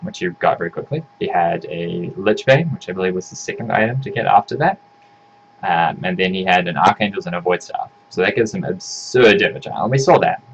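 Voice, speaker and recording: A man with a croaky voice talking through a game analysis, rambling and correcting himself often. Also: deep voice, highs cut off, a little hiss or hum. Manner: rambling, self-correcting, frequent ums and "right?". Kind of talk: casual solo game analysis.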